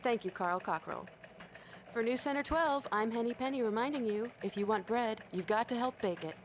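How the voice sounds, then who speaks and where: Woman as a fast, high-pitched hen news anchor. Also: a little hiss or hum, close to an everyday voice. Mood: earnest newsreading. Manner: polished, melodramatic newsreader. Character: brisk female hen television news anchor. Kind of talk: parody newscast in a video game.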